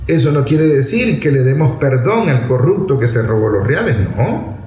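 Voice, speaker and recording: A man's voice: mid-range voice, big pitch swings, normal speed, mellow, echoey room. A man with a Venezuelan accent giving unscripted political commentary in a blunt, colloquial, emphatic manner, often posing rhetorical questions.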